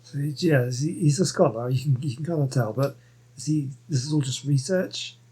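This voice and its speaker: A man casually reacting to a game story, with halting, off-the-cuff remarks. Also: medium pitch, warm tone, breathy edge. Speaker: gameplay commentator.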